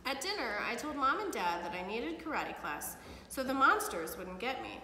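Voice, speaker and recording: A middle-aged woman reading a picture book aloud to children with expressive, animated storytelling, recorded in a living room by a camera a few feet away. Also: on the high side, moderate pace. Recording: echoey hard-walled room, some background noise.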